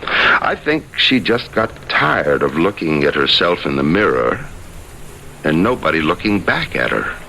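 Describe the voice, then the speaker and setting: Deep-voiced, defensive radio drama husband. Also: male voice, very animated, a little slow, creaky, over music, heavily acted. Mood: somber, insistent. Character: weary, defensive husband who left his polished wife. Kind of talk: 1970s radio drama acting. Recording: noisy old radio broadcast recording.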